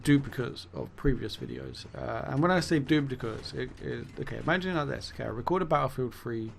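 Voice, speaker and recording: Rambling gravelly-voiced man, voice going. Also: mid-range voice, sing-song, fairly quick, a little hiss or hum. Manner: rambling, self-correcting, swears then laughs it off. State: losing his voice. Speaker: gaming YouTuber. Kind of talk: casual commentary monologue.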